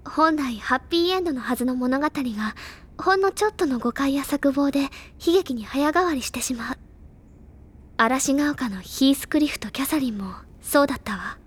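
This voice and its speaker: A woman's voice: high-pitched, expressive. Composed, bookish schoolgirl. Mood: calm, solemn. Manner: measured, literary, solemn. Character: composed, wise bookish older schoolgirl, gently resolute. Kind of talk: dramatic storytelling monologue. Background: music.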